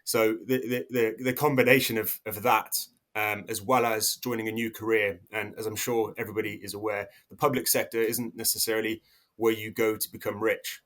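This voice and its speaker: Low-voiced man in his thirties, halting. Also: level tone, fairly bright tone. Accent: English. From South Africa. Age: 30s. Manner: hesitant, many restarts and fillers. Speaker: podcast guest. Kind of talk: casual interview talk.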